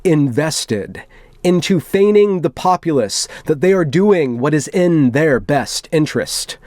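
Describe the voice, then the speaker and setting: A man performs an aggrieved, self-important male forum poster delivering a grand manifesto. The voice is a little high and declamatory, with theatrical weight on the phrases. It is recorded very dry, as for an online video. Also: lively intonation, unhurried, darkish tone, no room sound. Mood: indignant, grandiose.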